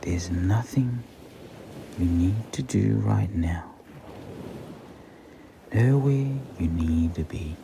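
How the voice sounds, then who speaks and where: A man guiding a meditation in a soothing, breathy near-whisper with long pauses. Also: sits low. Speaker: meditation guide. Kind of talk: slow guided meditation. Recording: clearly audible background ambient noise.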